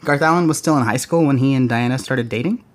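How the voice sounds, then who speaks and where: An actor playing a probing investigator who narrates a faux true-crime audio drama. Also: male voice, medium pitch, fast, no room sound, lightly acted.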